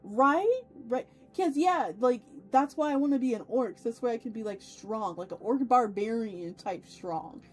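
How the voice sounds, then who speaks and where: A woman's voice: a little high, animated, normal speed, hardly any pauses, a little mellow, faint music behind. A woman hosting a casual livestream, chatting with viewers in an effusive, playful way with theatrical turns of phrase. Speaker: VTuber livestream host.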